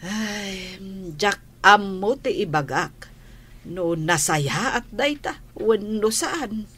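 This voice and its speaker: A woman playing a worried, gentle mother in a radio drama, speaking with concern and hesitation. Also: medium pitch, lively intonation, slow, husky, exaggerated voice.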